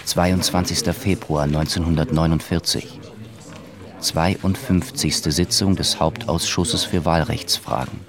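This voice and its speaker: A man reading scripted documentary narration, announcing dates, names and legal articles in a measured, formal way. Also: low-pitched, steady tone, a crowd in the background.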